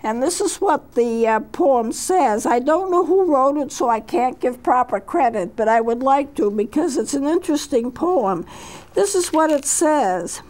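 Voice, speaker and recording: A woman's voice: sits high, expressive, a little slow, a little mellow, rough recording. A woman in her eighties, a counselor hosting a talk show, speaking unhurriedly about aging and reading poems aloud, with frequent fillers and restarts. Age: 80s. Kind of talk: reflective talk with read-aloud poetry.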